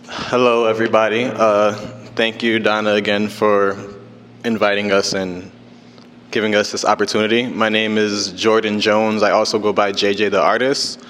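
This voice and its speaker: A man's voice: on the low side, flat delivery, normal speed, fairly bright tone, a little hiss or hum. A young man from Connecticut, an artist telling his story on a panel in a loose, informal way. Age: young adult. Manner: informal, with fillers and restarts. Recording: long hall reverb.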